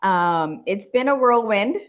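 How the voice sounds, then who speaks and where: A woman's voice: mid-range voice, expressive, takes it slowly, faint music behind. A woman in her forties from the Bahamas, telling her own story conversationally as an interview guest. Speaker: interview guest, wellness business owner and nurse.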